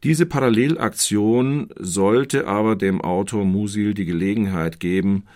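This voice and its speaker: Deep voiced guy, literature expert explaining a novel. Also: unhurried, darkish tone.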